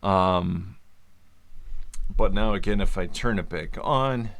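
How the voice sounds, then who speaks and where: A man giving an informal explainer as a vlogger, speaking in a halting, deliberate way with long hesitations and at times mildly slurred speech. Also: sits low, fairly bright tone. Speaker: vlogger sharing a personal medical journey. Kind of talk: informal explainer, part reading notes, part ad-libbed.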